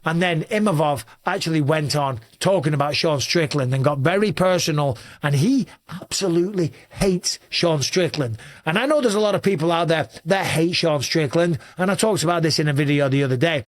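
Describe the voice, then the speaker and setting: A middle-aged man with an English accent, hosting a fast, shouty commentary monologue, his voice a little raspy. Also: a little high, expressive, dry recording. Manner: talks very fast and shouts a lot. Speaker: host.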